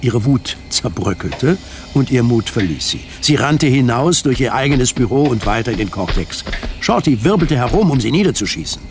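Measured male radio drama narrator, music underneath. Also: mid-range voice, big pitch swings. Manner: measured, precise storytelling. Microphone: studio microphone.

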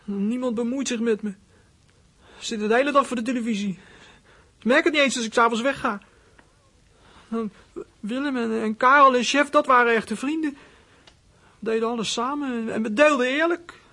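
A performer playing a small troubled runaway boy in a radio drama, husky and hesitant, speaking in plain colloquial phrases. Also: medium pitch, a little fast, sharp tone. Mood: pleading. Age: child character. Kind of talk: radio drama acting with whispered inner monologue.

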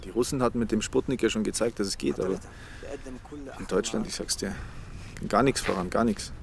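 An actor in a German radio drama playing an earnest, idealistic young engineer who talks colloquially and with emphasis. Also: male voice, on the low side, a little fast, creaky. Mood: eager, confiding.

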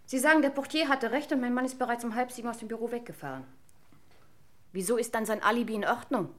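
A woman playing a proud, suspicious wife in a radio crime drama, formal, intense and dramatically deliberate. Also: fairly high pitch, a bit bright, close to an everyday voice. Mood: insistent.

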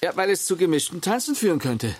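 An actor playing a wry, affectionate middle-aged husband who narrates a radio play in the first person. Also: male voice, sits high, big pitch swings, fast talker. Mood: playful.

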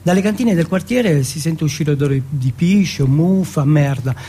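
A man from Turin performing an autobiographical theatrical monologue, telling it in measured short phrases and lists. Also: on the high side, dark tone, husky. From Turin, Italy.

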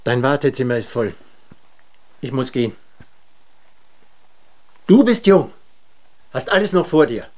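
A man's voice: medium pitch, very animated, a little slow, pauses often, a little mellow. An actor in a German radio drama playing a weary, stubborn man full of self-doubt, groping for words. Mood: weary, halting.

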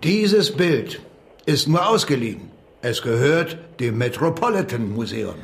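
A man's voice: medium pitch, sing-song, measured pace, gravelly. An actor playing a pompous, condescending American police officer in a radio drama, patronising and emphatic in his delivery. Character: pompous, condescending male American cop lording it over underlings.

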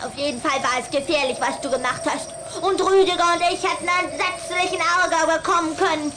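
Woman playing a very high-voiced little vampire girl. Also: fast talker, background noise, lightly acted. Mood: indignant, reproachful. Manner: indignant, dramatic, scolding. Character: bossy, affectionate little vampire girl, quick to scold. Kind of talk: German children's audio play acting.

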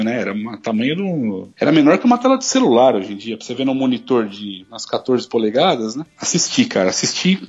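A man's voice: mid-range voice, breathy edge, dull audio. A Brazilian man in his forties telling personal stories in a casual podcast chat, using lots of slang and swearing. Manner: colloquial and slangy, peppered with swearing. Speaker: podcast co-host.

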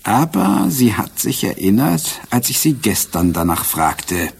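An actor playing a shrewd, self-assured police inspector in a crime radio drama, explaining in a measured, dryly ironic way. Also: male voice, lowish voice, big pitch swings, gravelly, breathy edge, exaggerated voice.

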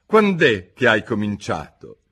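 A man voicing a pompous storybook king who barks loud orders. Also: lowish voice, huge pitch swings, measured pace, slightly rough, exaggerated voice. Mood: bossy. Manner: loud, bossy commands. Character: blustering pompous fairy-tale king.

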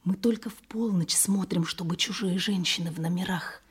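A husky, folksy hotel attendant. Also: female voice, fairly low pitch, moderate pace. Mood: busy, defensive. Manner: colloquial, plain-spoken. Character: brusque, busy, folksy female hotel floor attendant. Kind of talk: radio drama acting.

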